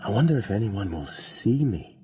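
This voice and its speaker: A man's voice: lowish voice, sing-song, normal speed. An actor playing a melancholy, resigned male astronaut facing death in a radio drama. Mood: resigned, aching. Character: resigned, melancholy doomed astronaut Hollis, falling alone to Earth.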